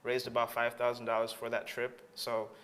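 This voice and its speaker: An eager man from Tanzania chats about his studies as an interview guest. His voice is bright and forward, with a middle pitch. He rambles warmly through fillers and restarts. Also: completely flat delivery, moderate pace. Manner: enthusiastic, rambling with many fillers. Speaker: graduate student guest. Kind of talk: casual interview answers.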